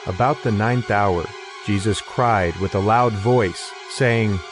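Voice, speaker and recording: A man's voice: fairly low pitch, takes it slowly. A man giving a scripted narration of an audio Bible. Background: music behind the voice.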